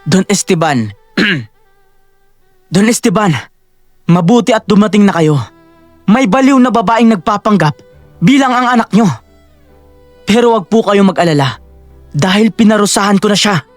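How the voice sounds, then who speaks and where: A man's voice: high voice, lively intonation, normal speed, pauses often, no room sound, faint music behind. A man playing a cruel, arrogant husband in a Filipino audio drama, with a taunting, theatrical delivery. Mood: fawning. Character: cruel, arrogant, sneering adult husband, a melodrama villain.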